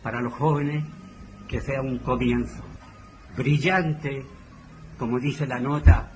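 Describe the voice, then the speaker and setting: A man's voice: medium pitch, steady tone, slow pace. An older man with a Chilean accent giving commemorative testimony, speaking in short, emphatic, declamatory phrases, partly from notes. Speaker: community elder giving testimony. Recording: clear background noise.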